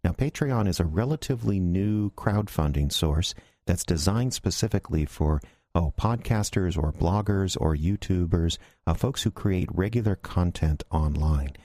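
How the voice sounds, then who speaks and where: A man with a very deep voice hosts a podcast. He gives an informal promotional announcement in a close, dry recording. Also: lively intonation, moderate pace, mellow, dry recording. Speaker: podcast host.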